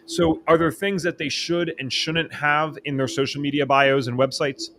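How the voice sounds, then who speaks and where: A man hosting a podcast interview from a home office, talking in an excitable, fast way. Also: on the high side, a little hiss or hum. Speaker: podcast host. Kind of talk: casual interview chat. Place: home office, empty spare bedroom. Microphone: studio microphone.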